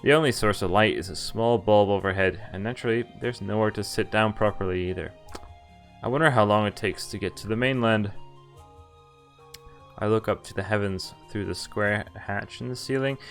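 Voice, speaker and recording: A man with a fairly low voice streams a visual novel, reading its narration aloud. His delivery is expressive but informal, open to offhand remarks. Faint music plays behind him. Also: moderate pace, creaky. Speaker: game streamer. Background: game music.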